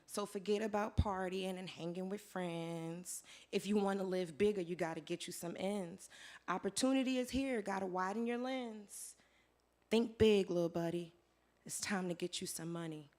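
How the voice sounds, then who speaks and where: A hoarse woman performing her spoken word poetry live, in a rhythmic rhyming delivery that swings between soft lines and loud declarations. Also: mid-range voice. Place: live venue with audience.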